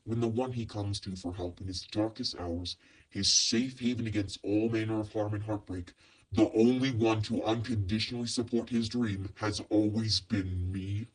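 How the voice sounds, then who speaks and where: Man acting a husky, coldly menacing father. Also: on the low side, steady tone, normal speed, lightly acted, low-quality recording. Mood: icy, menacing. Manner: smooth-talking, icily polite, biting. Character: cold, menacing, possessive father with villainous air. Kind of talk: acted fan fiction audio drama.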